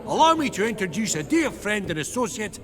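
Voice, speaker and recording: A man's voice: high-pitched, sing-song, brisk, soft background music. An actor playing a blunt, cheeky male dwarf, bantering coarsely, with a crowd heard behind him. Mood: urgent.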